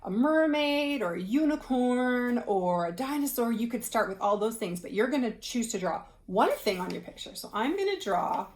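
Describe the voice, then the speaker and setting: A woman's voice: fairly high pitch, animated, moderate pace, few pauses. A woman reading aloud and explaining things to children in a conversational way, like a teacher. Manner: conversational, asks the listener questions while reading.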